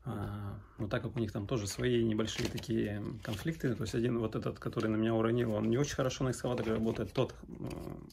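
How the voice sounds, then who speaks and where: A man's voice: fairly low pitch, level tone, fast talker, creaky, background noise. A man in his thirties from Arkhangelsk in northern Russia, answering questions in a casual vlog with hesitant, broken phrasing. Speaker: sailor vlogger. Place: small indoor room at home.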